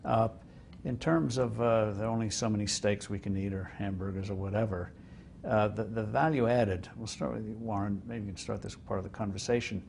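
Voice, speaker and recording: Older man, low voice, interviewer, measured, lots of uh, faint room hum. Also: creaky, a little mellow. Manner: measured, with frequent "uh" fillers. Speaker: interviewer host. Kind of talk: interview questions. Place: studio set like a living room.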